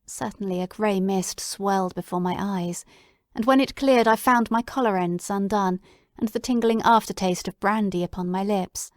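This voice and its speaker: A woman giving steady first-person narration for a dramatic audiobook reading. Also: mid-range voice, normal speed, dry recording, clean studio sound.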